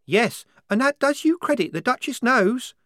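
A man's voice: very high-pitched, animated, moderate pace, a bit bright, dry recording, clean studio sound, voice pushed up. A man reading an audiobook, voicing a naive, devout nineteen-year-old woman soldier who speaks with fervent earnestness.

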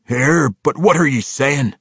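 Blustering dwarf, raised and pushy, gruff brogue, dry audiobook read. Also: male voice, fairly high pitch, very animated, normal speed, pushed and bright, dry recording, pitched above the performer's own voice, heavily acted. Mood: contemptuous. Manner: contemptuous, blustering. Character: hot-tempered male dwarf, contemptuous.

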